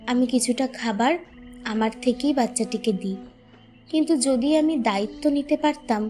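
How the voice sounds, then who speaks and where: Cartoon role: woman as sorrowful, kind young woman, distinctly high voice, plaintive, over music. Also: a little mellow, close to an everyday voice. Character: compassionate, sorrowful young woman telling her letter. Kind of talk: cartoon drama letter monologue. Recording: music behind the voice.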